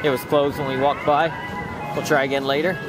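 A man's voice: a little high, level tone, a little fast, loud music behind the voice. A man narrating a casual, off-the-cuff vlog outdoors on a handheld camera, in short matter-of-fact remarks. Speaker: theme park vlogger. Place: outdoors in an amusement park. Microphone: handheld camera mic.

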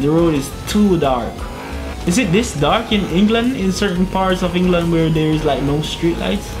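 A young man giving casual gameplay commentary on a headset in a small room at home, chatty, muttering to himself and laughing as he drives. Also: a little high. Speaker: gaming YouTuber. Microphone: gaming headset.

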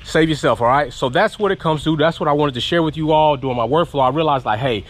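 Blunt, animated man reviewing outdoors. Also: a little high, quick pace. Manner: blunt, animated, swears casually. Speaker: car channel host. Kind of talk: casual product review vlog. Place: outdoors in a suburban driveway. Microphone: handheld camera held at arm's length.

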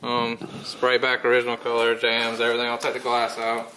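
Man, relaxed, matter-of-fact, fillers, barn, noisy recording. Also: mid-range voice, brisk. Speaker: car restorer. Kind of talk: casual explanation. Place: old barn. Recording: noisy camera audio.

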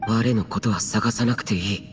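A man's voice: on the low side, fairly even intonation, moderate pace, background noise, lightly acted. A man voicing a youthful, aloof immortal warrior in a Japanese anime game, terse, solemn and archaic in speech. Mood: stern. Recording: music behind.

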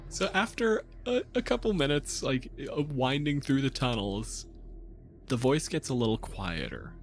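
A man narrating a tabletop game as game master, telling it dramatically with halting pauses. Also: mid-range voice, big pitch swings, background noise. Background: music.

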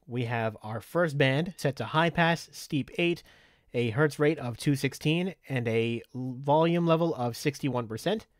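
Male tutorial presenter. Methodical, step-by-step instruction, mid-pitched, recorded dry and close. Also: measured pace, dry recording. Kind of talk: step-by-step software tutorial narration.

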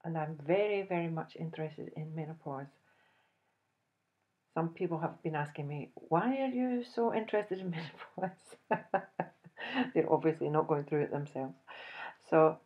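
A woman in her fifties with a Scottish accent, telling a personal story to camera in an animated, chuckling way. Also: sits low, muffled recording. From Scotland. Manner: animated, chuckles often, acts out dialogue. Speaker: host. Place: indoors, small room, plain backdrop.

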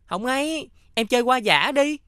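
A woman voicing a playful, teasing boy in a children's cartoon, with a lively childlike manner. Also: high-pitched, moderate pace, no room sound, studio quality. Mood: teasing, matter-of-fact.

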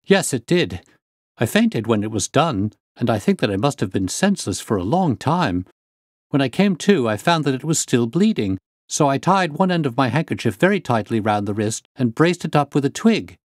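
A man's voice: medium pitch, very animated, normal speed, dry recording, clean studio sound, pitched above the performer's own voice. A man voicing an agitated, earnest engineer in his twenties for an audiobook story. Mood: earnest, brisk. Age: 20s. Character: agitated young engineer, injured, hearty and earnest. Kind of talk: audiobook character voice.

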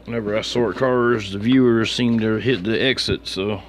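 A man's voice: lowish voice, normal speed. A man streaming about his card hobby, talking in a casual, slangy, laid-back mutter as he names items one by one. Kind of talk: casual chat while sorting through cards. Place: indoors at a desk with a computer. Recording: steady background room noise.

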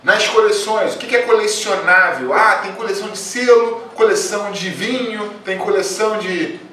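A man's voice: high voice, normal speed, sharp tone, distant mic, slight background noise. A young man teaching a memory workshop, talking viewers through worksheet exercises in a friendly, encouraging way. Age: young adult. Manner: friendly, encouraging, addresses viewers as "gente linda". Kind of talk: explaining worksheet exercises to a class. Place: small room indoors. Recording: very echoey room, voice off-mic.

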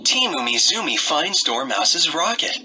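A man narrating a read-aloud story for young children in short, expressive sentences. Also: a little high, unhurried, background noise.

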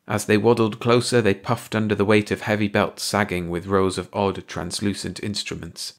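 A man narrating a science-fiction short story aloud in an expressive, measured manner. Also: fairly low pitch. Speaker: audiobook narrator.

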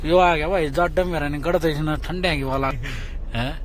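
A young man with a Haryanvi accent and a high voice talks in a loose, joking way with friends. He is recording on a phone inside a moving car. Clear road and engine noise runs under his voice. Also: steady tone, a little fast. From Haryana, India. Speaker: travel vlogger. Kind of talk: casual road-trip chat.

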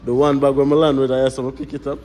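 A man's voice: mid-range voice, fast talker. A man with a Jamaican accent, a family vlogger and father, chatting casually as he walks through a small airport. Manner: relaxed, chatty narration to camera. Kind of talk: casual family vlog walkthrough. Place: small airport terminal, indoors.

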